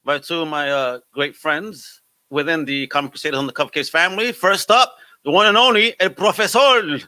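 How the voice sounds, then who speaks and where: Male podcast host, very sharp bright voice, slightly high, hearty casual interviewing. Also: a little fast, no room sound. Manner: hearty, exclamatory greetings and slang.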